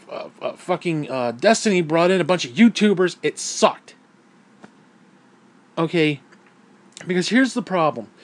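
A hoarse man losing his voice, blunt and swearing a lot as he rants about a game. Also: high-pitched. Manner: profane, blunt and heated. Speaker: gaming vlogger. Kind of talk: angry opinion rant.

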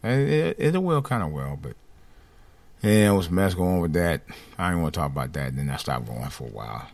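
Man with a light, lively voice. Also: brisk, creaky, no echo. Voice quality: light voice without much bass. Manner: rambling, repeats and restarts phrases. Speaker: podcast host. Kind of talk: casual personal monologue.

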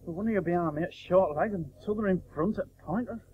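A man's voice: sits high, quick pace, a little hiss or hum, lightly acted. An actor playing a prickly, stubborn Victorian batsman, indignant and querulous, in a radio play. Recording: muffled old radio broadcast tape.

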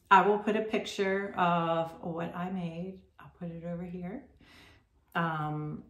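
A middle-aged woman talks slowly and casually to the camera, drifting through her thoughts with plenty of ums. Her voice is lowish with a gravelly edge. The room around her rings with a noticeable echo. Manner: rambling, chatty, many ums and self-corrections. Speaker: crochet podcast host. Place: home room with red striped wallpaper, indoors. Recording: roomy echo.

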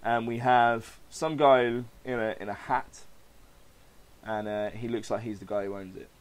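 A man's voice: medium pitch, fairly even intonation, a little slow. A man hosting a podcast, informally narrating a comic book with hesitant, improvised scene-setting. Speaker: podcast host.

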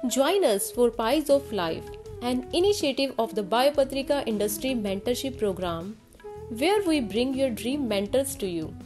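A woman's voice: on the high side, lively intonation, moderate pace. A woman reading a scripted promo intro, upbeat with rhetorical questions. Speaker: show announcer. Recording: voice-over with faint music.

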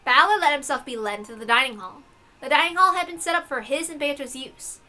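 A woman narrates a visual novel in a high, bright voice. Her delivery is scripted and expressive, like a story read aloud. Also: fast.

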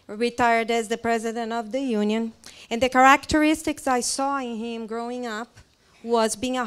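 A woman's voice: fairly high pitch, clean tone. Brazilian-accented woman presenting a church seminar. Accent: Brazilian Portuguese. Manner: friendly, chatty, many fillers. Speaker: children's ministry trainer. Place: hotel meeting room. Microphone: handheld mic.

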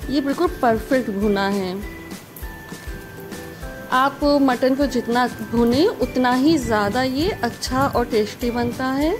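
A woman's voice: fairly high pitch, slow pace. A woman host giving a friendly step-by-step recipe voice-over, repeating emphatic praise for the dish. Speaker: cooking channel host. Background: background music.

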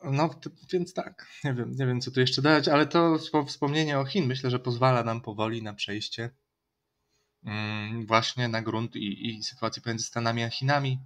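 A man in his forties co-hosting a relaxed analytical chat over a video call from a room at home, steering toward the next topic. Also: mid-range voice, moderate pace. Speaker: co-host.

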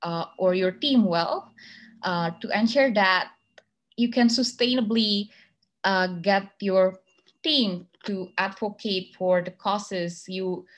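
Young Indonesian-accented woman, online masterclass speaker, halting and filler-heavy, on a video call mic. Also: mid-range voice. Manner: hesitant, many fillers and restarts. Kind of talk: online presentation with slides.

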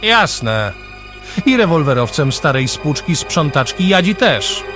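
Sarcastic mocking rival, played by a man, highish animated voice, sneering, loud music behind. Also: slow pace. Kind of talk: amateur radio play acting.

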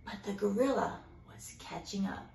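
A woman's voice: mid-range voice, normal speed, gravelly, a little mellow, reverberant. A woman narrating a children's storybook expressively, with dramatic, emphatic phrasing. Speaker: storybook reader.

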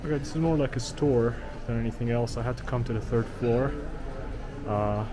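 A man's voice: mid-range voice, brisk. A young man talking to a handheld camera as he walks through city streets, rambling with lots of fillers. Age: young adult. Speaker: travel vlogger. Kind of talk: casual walking vlog to camera. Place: outdoors on busy city streets. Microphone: action camera held at arm's length. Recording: street noise behind the camera. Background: traffic and city ambience.

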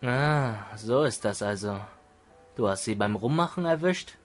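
A man's voice: medium pitch, expressive, unhurried, lightly acted. A man playing a smug, grumpy older teenage boy in an audio drama, with a curt, teasing, dismissive manner. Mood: mocking. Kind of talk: fan audio drama dialogue in German. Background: music.

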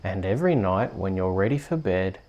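An Australian man hosting and reading bedtime stories in a soft, soothing, unhurried ASMR storytelling manner. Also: sits low, expressive, muffled recording, a little hiss or hum. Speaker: host reading bedtime stories on a video channel.

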